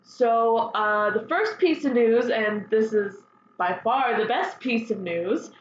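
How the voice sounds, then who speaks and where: A woman hosting a fan news show solo, chatting casually with gushing, excitable enthusiasm. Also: on the high side, slow. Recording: dull lo-fi sound, roomy echo, faint noise.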